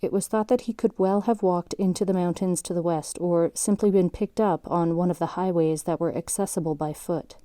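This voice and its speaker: A woman's voice: on the low side, fairly even intonation, brisk, few pauses, mellow, no echo, natural voice. A woman playing a sober documentary narrator in an audio drama, reading scripted, factual narration solemnly.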